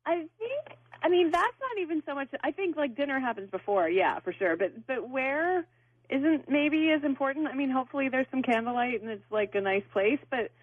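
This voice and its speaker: A woman's voice: high-pitched, big pitch swings, normal speed, husky. A woman on a phone call to a radio show, talking casually in a hesitant, flustered way. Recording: tinny phone line on radio.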